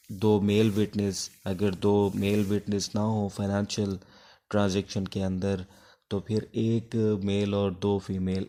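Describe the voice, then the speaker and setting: A man with a Pakistani accent giving an informal law lecture, explaining haltingly, mixing in English terms and posing questions that he then answers. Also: fairly low pitch, dark tone. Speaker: law teacher.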